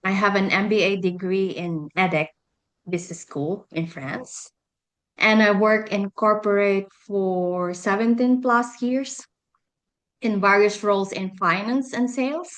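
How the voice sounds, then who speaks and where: A middle-aged woman talks about her own life as a guest on an interview show. She has a Filipino accent and an average-pitched voice. Her delivery is conversational, like someone telling a personal story. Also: fairly even intonation, a little slow. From Philippines. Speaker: podcast guest, energy healer and life coach.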